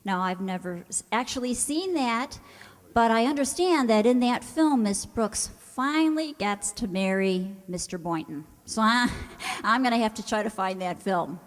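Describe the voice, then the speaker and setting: A woman's voice: a little high, lively intonation, unhurried. A woman presenter giving an informal spoken introduction to an audience. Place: hall, before an audience. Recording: echoey hall.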